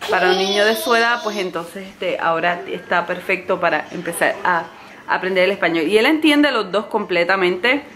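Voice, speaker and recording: A woman in her thirties talking casually to the camera at home as a mom vlogger, filming herself up close with a handheld camera. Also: mid-range voice. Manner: quizzing her toddler in slow, drawn-out questions. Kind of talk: casual home vlog chat. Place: living room at home. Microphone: handheld camera close to face.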